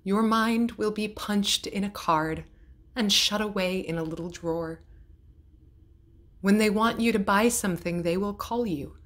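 A woman reading poetry aloud in a measured, deliberate way, pausing between phrases. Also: medium pitch, fairly bright tone. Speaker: poetry reader. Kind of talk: poetry recitation.

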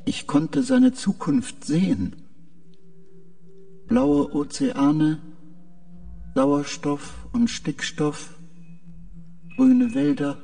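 A man acting as a high, bright-less, creaky male artificial mind from a sci-fi radio play. He is confused and dreamy, speaking slowly and haltingly. The voice sounds a little distant, with music behind it. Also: exaggerated voice. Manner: halting, short hesitant answers. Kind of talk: science fiction audio drama.

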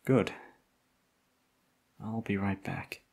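A man playing a tough, protective, foul-mouthed bodyguard with a husky voice in an ASMR audio roleplay. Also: fairly low pitch, fairly even intonation, extremely slow, a little mellow, heavily acted. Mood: hushed, reassuring. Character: tough protective male bodyguard, foul-mouthed, tender toward his charge.

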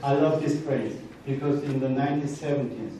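A man's voice: mid-range voice, a little fast. Korean-accented man lecturing in a very echoey hall. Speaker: guest speaker. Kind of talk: motivational lecture to students. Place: large indoor university lecture hall. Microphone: handheld microphone, captured from across the room. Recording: very echoey hall, distant, faint noise.

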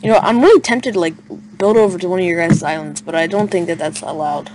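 A young man chats playfully during a game, with an excitable, joking manner. His voice comes over a noisy voice chat with clicks. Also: high-pitched, quick pace. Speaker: gaming guest and YouTuber.

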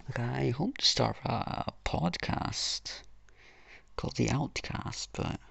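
A teenage boy rambling self-mockingly through a low-key channel intro in a flat, gravelly voice. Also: slow. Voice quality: gravelly, husky, flat-sounding voice. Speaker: YouTuber. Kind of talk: casual channel intro voice-over.

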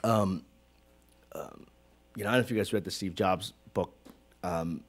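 A man in his forties from Hong Kong speaks on a small auditorium stage, his voice sitting a little low. He talks animatedly, full of fillers and restarts, into a close stand mic. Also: normal speed, slight background noise. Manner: animated, gestures broadly, many fillers. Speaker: guest, creative director. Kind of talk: onstage conversation. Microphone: stand mic close to mouth.

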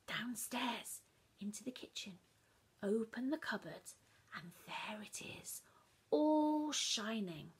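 Breathy, expressive woman telling a story. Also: fairly high pitch. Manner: expressive, slipping into hushed whispers. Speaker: teacher reading aloud. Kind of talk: dramatic storytelling to children. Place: living room, on a sofa.

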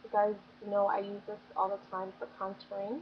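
A woman's voice: mid-range voice, completely flat delivery, brisk. A young woman chatting casually about her favorite beauty products, gushing and filling with "like" and "um", her voice a little scratchy from getting sick. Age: young adult. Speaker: beauty vlogger. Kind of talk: casual product review chat. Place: small bedroom. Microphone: built-in webcam mic. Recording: dull lo-fi webcam audio with faint room noise.

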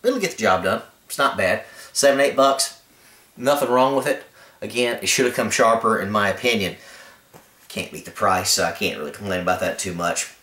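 A man's voice: on the low side, brisk, bright, forceful tone, roomy sound. A man hosting his own channel, casually reviewing a cheap knife with a laid-back, rambling manner and blunt opinions. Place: indoors, camera filming a carpeted floor.

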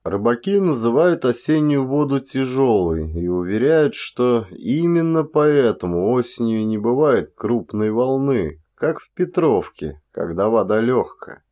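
A man reading an audiobook as an actor, telling a literary story in a measured, expressive way. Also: medium pitch.

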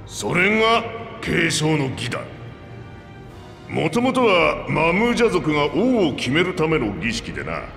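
A man playing an aging two-headed reptilian king in a video game, commanding, frank and gruff in his manner. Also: on the high side, wildly swooping pitch, a little slow, fairly bright tone, full character voice. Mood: frank, wry. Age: older. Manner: gruff, regal, plain-spoken old-ruler speech. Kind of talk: Japanese video game voice acting. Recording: echoey hall reverb, background music.

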